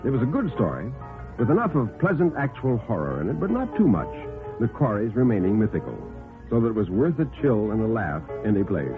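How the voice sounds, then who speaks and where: A man's voice: lowish voice, wildly swooping pitch, a little fast. An American man narrating a scripted horror story in the style of 1950s radio drama. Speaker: narrator of a radio horror play, performing every part. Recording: vintage radio recording, phone-like band, music behind.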